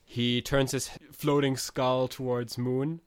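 A man narrating calmly as game master of a tabletop game. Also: mid-range voice, slow, clean tone.

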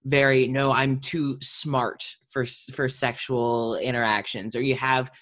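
A woman interviewer and actor chatting casually, animated and slipping into imagined quotes as she talks. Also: a bass voice, measured pace.

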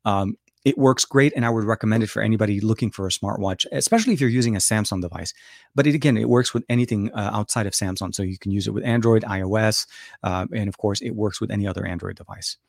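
A man's voice: fairly low pitch, fast talker, gravelly, no echo, clean studio sound. A middle-aged man from Lebanon hosting a casual live Q&A, talking with many fillers and restarts and answering viewers' questions. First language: Arabic. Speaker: livestream host.

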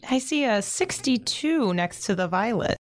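A woman's voice: a little high, animated, moderate pace, no room sound, natural voice. A woman in an audio drama playing a shy, kindly teenage girl, speaking politely and tentatively. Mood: curious, polite.

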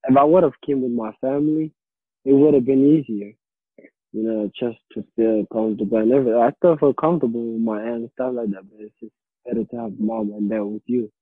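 A young man, answering interview questions in a halting, casual way and often repeating words while he looks for them. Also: mid-range voice, fairly even intonation, husky, no room sound. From Somalia. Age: late teens. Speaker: interviewee, high school student. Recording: dry, tinny phone or call line.

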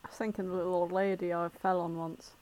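A woman's voice: lowish voice, flat delivery, fast talker, a little mellow, no echo. A woman co-hosting a casual comic podcast. She talks in a giggly, rambling way and laughs often. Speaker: podcast co-host.

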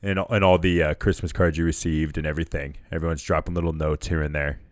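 Deep-voiced laughing podcast co-host. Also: male voice, flat delivery, fast talker, no room sound. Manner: easygoing, laughs often, short agreeing replies. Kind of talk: casual podcast chat.

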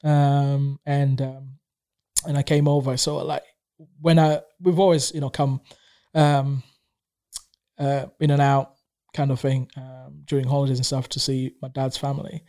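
A man's voice: mid-range voice, level tone, a little slow, mellow. A man in his thirties who grew up in Tanzania and speaks Swahili natively, chatting casually as a guest, rambling with many fillers and restarts. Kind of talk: casual conversation.

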